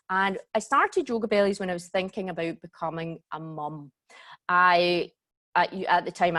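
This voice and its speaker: A Glaswegian woman in her forties talks through an online workshop from home over her computer mic. She is chatty and informal, often pausing to check in with her listeners. Her voice sits at an ordinary middle pitch. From Scotland. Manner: chatty, keeps checking in with "okay?" and "guys". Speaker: yoga teacher and workshop host. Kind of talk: live online workshop talk. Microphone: computer mic on a video call.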